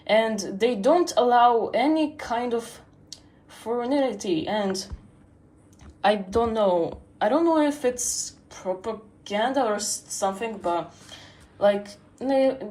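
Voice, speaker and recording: Slow, hesitant teenage girl streaming. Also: on the high side, a little mellow, rough recording. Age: teens. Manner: hesitant, full of fillers and restarts. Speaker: livestreamer. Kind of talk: rambling casual stream chat.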